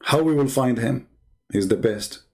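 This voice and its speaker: Gravelly sarcastic man, Arabic accent. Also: mid-range voice, moderate pace, darkish tone, slight background noise. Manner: sarcastic, needling, teasing. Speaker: live stream host. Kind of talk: mocking commentary while reading religious texts.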